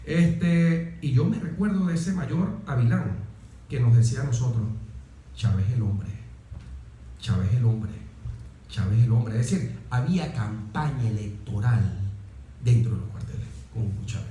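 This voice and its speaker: A man's voice: medium pitch, a little slow, warm tone, slight background noise. A middle-aged man with a Venezuelan accent, telling anecdotes as an interview guest, stressing points with repetition and rhetorical questions. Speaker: interview guest, military officer. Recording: very echoey, reverberant room.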